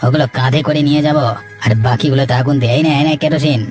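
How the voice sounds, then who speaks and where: A man's voice: medium pitch, steady tone, fast talker, a little mellow, faint music behind, lightly acted. A man voicing a jealous, bossy village schemer in a cartoon, with an urgent, conspiratorial manner. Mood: scheming, bossy. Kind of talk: cartoon voice acting in a moral story.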